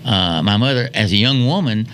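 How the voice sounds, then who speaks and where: Bright-voiced Southern man in his seventies. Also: on the low side, expressive, normal speed, a little hiss or hum. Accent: Southern American. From Wilkes County, North Carolina, USA. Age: 70s. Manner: rambling, repeats phrases for emphasis. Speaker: storyteller guest. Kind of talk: folksy reminiscing storytelling.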